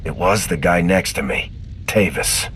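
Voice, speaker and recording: Stern soldier hero in a video game, lowish voice, controlled, slight noise. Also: male voice, unhurried, a bit bright. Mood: stern, firm. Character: stern, principled male soldier hero, a lieutenant.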